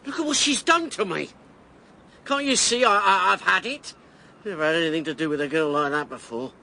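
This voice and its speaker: An actor playing a brash, volatile election candidate and ex-army major in a radio drama, defiant and agitated. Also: male voice, high-pitched, a little fast, gravelly, pushed and bright, slight background noise, exaggerated voice.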